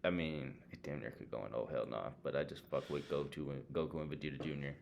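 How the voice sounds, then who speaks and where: A man's voice: extremely low pitch, steady tone, fast talker, gravelly, darkish tone. A man co-hosting a podcast in casual, opinionated banter, blunt and slangy with plenty of swearing. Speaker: podcast co-host.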